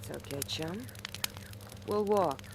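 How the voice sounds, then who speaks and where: An actress playing a tough, shrewd hobo in a 1940s radio thriller, sardonic, slangy and taunting. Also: female voice, lowish voice, slow, vocal fry. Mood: hard, threatening. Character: tough wisecracking female hobo, shrewd and mocking. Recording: noisy old radio recording.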